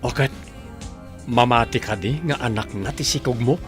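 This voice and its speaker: A man's voice: medium pitch, normal speed, music in the background, natural voice. A man playing a nosy, teasing bar co-worker in an Ilocano radio comedy drama, with an Ilocano accent.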